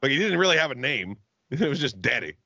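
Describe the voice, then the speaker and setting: Brief: profane man in his thirties, lively swings in pitch, dry and slightly dull home-mic sound. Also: quick pace, vocal fry, slightly rough, no room sound. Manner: loud, swearing, laughs often. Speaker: podcast co-host. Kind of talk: casual profane chat. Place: home room, remote video call. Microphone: studio mic on arm, headphones.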